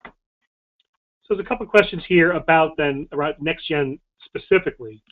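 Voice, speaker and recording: A man's voice: a little high, normal speed. A man moderating a question-and-answer session, relaying questions in a casual way. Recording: tinny, phone-like band-limited audio.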